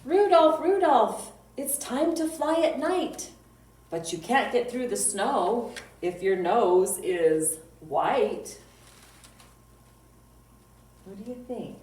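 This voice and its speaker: A woman's voice: a little high, expressive, slow pace, long pauses, a little mellow. A woman hosting a storytime for young children, speaking in a playful sing-song way and asking simple questions. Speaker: children's library storytime host. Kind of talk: children's storytime talk, reciting poems and singing. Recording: roomy echo, distant mic, faint noise.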